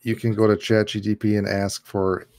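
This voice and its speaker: A man in his thirties hosts a casual online chat from a room at home, talking through a headset. His voice sits a little low, and he keeps the talk loose and jokey, laughing often. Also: little pitch movement, a little fast, a little mellow. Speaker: livestream host. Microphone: headset on a video call.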